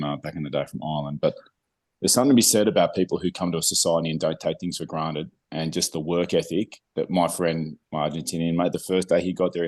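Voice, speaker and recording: Aussie guy with a really low voice, friendly podcast host, joking around. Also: a little mellow. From Australia. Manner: friendly, jokey, encouraging. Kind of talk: casual podcast chat.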